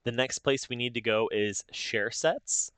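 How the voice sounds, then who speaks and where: A man narrating a casual software tutorial step by step, informally thinking aloud as he goes. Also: mid-range voice, a little slow, clean tone, no room sound. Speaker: tutorial host.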